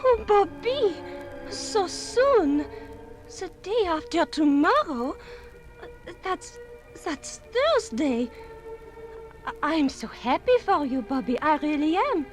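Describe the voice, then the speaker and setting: High-voiced tender young sweetheart, radio drama. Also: female voice, sing-song, slow, long pauses, soft background music, full character voice. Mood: shaken, brave. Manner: hesitant, emotional. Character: tender young French sweetheart of an American soldier. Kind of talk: 1950s radio drama acting. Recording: 1950s radio production from vinyl record.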